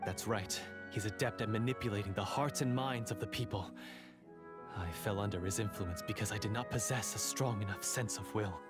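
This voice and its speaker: A man's voice: fairly low pitch, a little fast, loud music behind the voice, lightly acted. An actor voicing a nervous young man who stammers and slips into grand, pompous speeches, in a video game. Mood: grandiose. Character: nervous young man, sheepish schemer turned theatrical. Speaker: scriptwriter character in a game.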